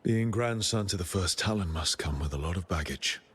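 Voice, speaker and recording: An actor playing a smooth, supportive male adventurer hero in a video game. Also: low-pitched, expressive, normal speed, fairly bright tone, exaggerated voice.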